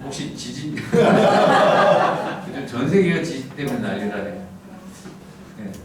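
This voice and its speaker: A middle-aged man from the Gyeongsang region who speaks with a standard Seoul-area accent, preaching in a soft, humorous storytelling manner. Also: medium pitch, very animated, slow pace, far from the mic, a loud crowd. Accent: standard Seoul-area Korean. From Gyeongsang region, South Korea. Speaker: pastor. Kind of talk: lecture-style sermon. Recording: echoey hall miked from afar, background noise.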